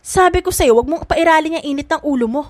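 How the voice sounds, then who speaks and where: A woman voicing a frank, caring young woman in an audiobook drama, expressive and tender. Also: sits high, fast, dry recording, studio quality, pitched above the performer's own voice, close to an everyday voice. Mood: chiding, caring.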